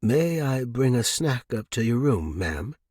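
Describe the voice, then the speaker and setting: A discreet manservant, voiced by a male narrator in a storybook reading, formal and courteous. He keeps a reserved, deferential manner, with a husky voice in a dry recording. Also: mid-range voice, sing-song, a little slow, a little mellow, dry recording. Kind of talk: audiobook character reading.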